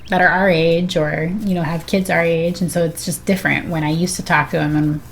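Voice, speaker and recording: A woman's voice: on the low side, level tone, fairly quick, gravelly, a little hiss or hum. A middle-aged woman chatting casually as a guest on a live game stream. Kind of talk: casual chat.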